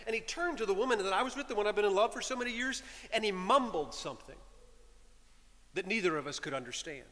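A middle-aged man, a priest, telling a story in a sermon from the pulpit, animated and shifting between loud lines and hushed whispers. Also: high voice. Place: large stone church, speaking from a pulpit. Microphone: pulpit microphone. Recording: long church reverb.

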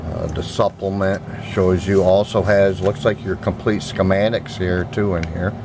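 A man's voice: deep voice, fairly even intonation, normal speed, background noise. A man giving a casual, unscripted, plain-spoken product demonstration as a seller, recorded indoors on a handheld camera's microphone. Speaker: seller demonstrating used audio gear. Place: indoor room at home. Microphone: handheld camera mic, speaker off screen. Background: music playing from a record.

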